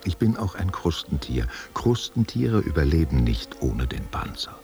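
A man's voice: extremely low pitch, very animated, normal speed, over loud music, heavily acted. An actor playing an aging, wistful stage actor who tenderly courts a younger woman, musing and questioning in an intimate radio play. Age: older.

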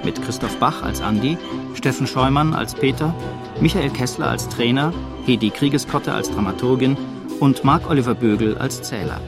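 A man with a gravelly voice reading out lists as a radio drama announcer, item by item. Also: medium pitch, moderate pace, lightly acted. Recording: voice over loud music. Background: loud music.

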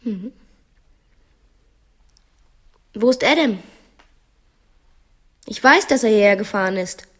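An actress playing an unhappy, drinking, imperious wife in a radio drama, moving between hesitant murmurs and sharp commands. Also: female voice, mid-range voice, animated, normal speed. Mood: brittle, prickly. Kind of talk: radio play acting.

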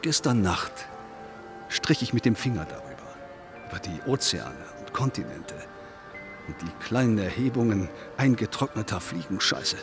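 Musing undertaker, radio drama, slightly deep, dry, detached, morbid, laconic, music behind. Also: male voice, expressive, a little slow. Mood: brooding, musing. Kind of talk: German radio drama acting.

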